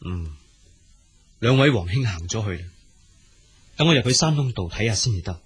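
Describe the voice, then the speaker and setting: An actor playing a gentle, compassionate young prince in a radio drama, earnest and given to sighing and musing aloud. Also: male voice, fairly low pitch, expressive, moderate pace, a little hiss or hum, close to an everyday voice. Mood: distressed pity. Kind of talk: Cantonese radio drama acting.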